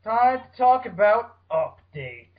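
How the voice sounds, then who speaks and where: Higher-voiced man, bantering podcast host, dull audio. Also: very animated, slow. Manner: bantering, swearing. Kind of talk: casual chat about fan fiction.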